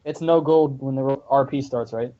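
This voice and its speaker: A man's voice: mid-range voice, fairly even intonation, moderate pace. A young man in a casual gaming voice chat, plain-spoken, asking practical questions. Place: online voice call. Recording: lo-fi voice chat audio.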